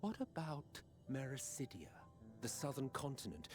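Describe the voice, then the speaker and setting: An actor playing a proud, defeated villain in a video game, grandiloquent and disdainful. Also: male voice, medium pitch, sing-song, moderate pace, close to an everyday voice. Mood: wry, lecturing. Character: proud, theatrical, defeated male villain, imperious and wry. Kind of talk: dramatic video game acting. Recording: orchestral music under the voice.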